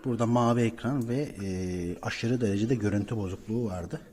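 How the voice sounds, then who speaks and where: A man's voice: medium pitch, brisk, darkish tone. A man working as a repair technician, explaining a job informally to viewers on site in an apartment building hallway. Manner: explanatory, addressing viewers directly. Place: indoors, apartment building hallway by cable shafts. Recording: reverberant stairwell, clear background noise.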